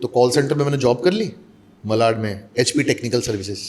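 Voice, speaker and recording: A man's voice: mid-range voice, lively intonation, brisk, a little mellow. A man from Mumbai, an actor and voice-over artist, telling stories in a casual interview and mixing Hindi with English. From Mumbai, India.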